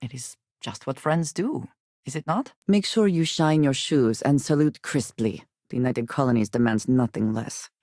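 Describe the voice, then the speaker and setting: An actress playing a guarded, devout and loyal woman spacefarer in a video game, with measured, formal, precise phrasing. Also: rumbling low, warm tone, no room sound, clean studio sound. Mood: wry, composed. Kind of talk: scripted game character dialogue.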